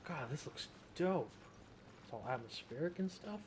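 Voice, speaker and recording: A man's voice: fairly high pitch, lively intonation, normal speed, noisy background. A man doing casual, rambling game commentary as a streamer, often self-deprecating. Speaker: gaming streamer. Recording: game music behind the voice.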